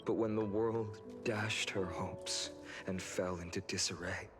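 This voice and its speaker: Man acting an earnest, low-voiced young hero. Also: steady tone, natural voice. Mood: wistful, resolute. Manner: earnest, measured, formal. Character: earnest, noble young male hero and leader. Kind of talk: scripted video game acting. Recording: game music behind the voice.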